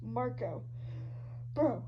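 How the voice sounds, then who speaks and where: A woman giving an animated, dramatic, slangy reading of online fan fiction, shifting between characters. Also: on the high side, drawn-out words. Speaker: podfic reader. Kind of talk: expressive fan fiction reading of social media posts. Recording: dull lo-fi audio with loud hum. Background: loud hum and noise.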